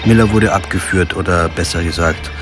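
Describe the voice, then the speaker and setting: An actor playing a wry, weary lawyer in a radio crime drama, narrating and speaking in a dry, laconic way. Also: male voice, low-pitched, steady tone, a little fast, close to an everyday voice. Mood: tense narration. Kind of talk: first-person radio drama narration and dialogue. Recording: music behind the voice.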